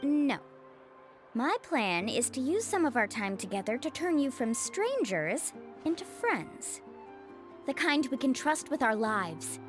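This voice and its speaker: Young female adventure guide for a game: high-pitched, animated, breezy, friendly and headstrong. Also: a little slow, faint music behind. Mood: encouraging. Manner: breezy, assured. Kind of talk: video game voice acting.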